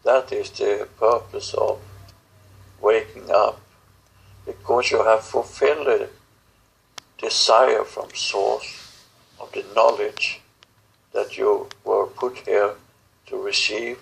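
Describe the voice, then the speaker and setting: Very slow, gravelly man, halting delivery. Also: on the low side, steady tone, low-quality recording. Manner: halting, solemn, broken phrases with long pauses. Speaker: channeler speaking as star beings in a guided session. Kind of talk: channeled spiritual message.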